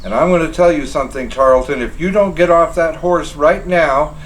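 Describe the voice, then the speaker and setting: A man voicing a boastful, excitable young colonial sergeant who blusters and panics by turns, pitched well above his own voice. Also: brisk, slight background noise, natural voice. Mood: defiant. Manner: frantic, blustering, brash.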